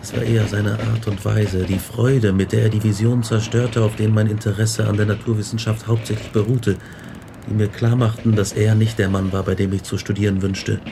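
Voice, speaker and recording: An actor playing an earnest, brooding young scientist in a radio drama, speaking with measured intensity. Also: male voice, lowish voice, flat delivery. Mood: brooding, reflective. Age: young adult. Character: earnest, brooding young male scientist recounting his doom. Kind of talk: radio drama narration and dialogue. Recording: clear background noise and ambience.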